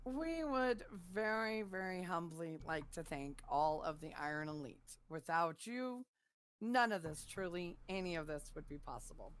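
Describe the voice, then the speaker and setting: A woman's voice: on the low side, a little slow, clean tone. Woman co-host breezily reading names. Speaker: podcast co-host. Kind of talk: reading a thank-you list of supporters.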